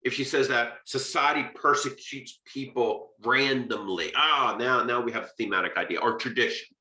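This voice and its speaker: A man's voice: mid-range voice, normal speed, pushed and bright, reverberant, poor audio. An American man giving an informal literature lecture, conversational and emphatic, checking his points with short rhetorical questions. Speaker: literature lecturer and video host.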